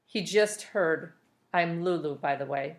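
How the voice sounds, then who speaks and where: A woman's voice: fairly low pitch, a little slow, lightly acted. A woman reading a storybook aloud as a young girl with a casual, matter-of-fact manner. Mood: offhand, friendly.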